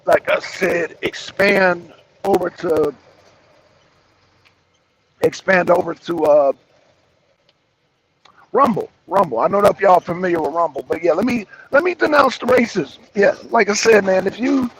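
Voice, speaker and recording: Raspy high-voiced man streaming live. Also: lively intonation, a little slow, pauses often, fairly bright tone, rough recording. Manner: rambling, repeats phrases, greets viewers by name. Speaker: streamer hosting a wrestling show. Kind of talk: casual live-stream talk to chat.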